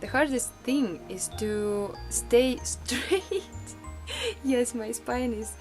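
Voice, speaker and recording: Slow-talking woman with a Russian-born voice. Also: a little high, darkish tone, faint music behind. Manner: chatty, laughs often, pauses with fillers. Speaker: vlogger. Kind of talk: casual vlog chat.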